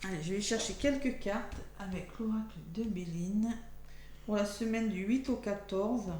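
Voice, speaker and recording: A French woman close to losing her voice talks gently through a weekly tarot card reading. She thinks aloud and chats as she turns each card. The room is echoey, with a faint hum in the background. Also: mid-range voice, normal speed. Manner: chatty, thinks aloud while drawing cards. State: losing her voice, talking softly.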